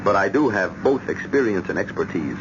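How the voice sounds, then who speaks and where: Man playing a low-voiced, needling American cynic, old radio. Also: expressive, a little fast, close to an everyday voice. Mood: smug, confiding. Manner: teasing, insinuating, theatrical emphasis. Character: cynical, needling bachelor brother-in-law, worldly and smug. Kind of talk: old-time radio drama acting. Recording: muffled, noisy vintage radio drama recording.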